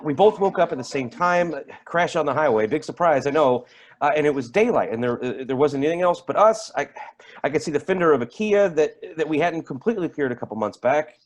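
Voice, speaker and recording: Man acting a rattled, high-voiced man, very animated. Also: close to an everyday voice. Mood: tense recounting. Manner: halting, emphatic, repeats himself. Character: rattled, defensive man testifying about an eerie deer encounter. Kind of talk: dramatic staged play reading, naturalistic testimony.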